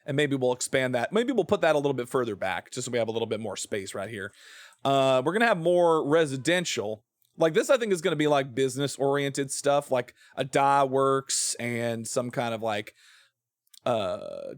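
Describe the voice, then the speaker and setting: A man's voice: mid-range voice, very animated, moderate pace, no echo, clean studio sound. An American man chatting casually while he plays on a livestream, thinking aloud in a rambling way. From southern-adjacent United States. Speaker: game streamer. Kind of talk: casual livestream chat while playing a building game.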